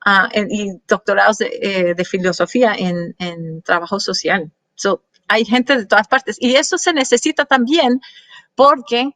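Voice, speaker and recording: A woman's voice: medium pitch, unhurried, fairly bright tone, no room sound. A woman presenting research slides to an audience, talking with many fillers and restarts and slipping in English words. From Colombian parents. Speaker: guest speaker.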